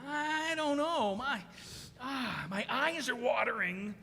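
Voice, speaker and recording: A nervous, skeptical navy sidekick in a radio play, played by a man. The voice is high and sharp with lively swings, stammering and hesitant, heard in a hall over faint noise. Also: slow pace, gravelly, breathy edge, full character voice. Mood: uneasy. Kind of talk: stage radio drama acting.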